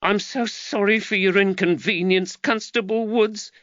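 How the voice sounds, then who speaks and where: A man voicing an angry, shrill, middle-aged London mother who scolds and shrieks. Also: fairly even intonation, unhurried, a bit bright, no echo, lo-fi sound. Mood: anguished.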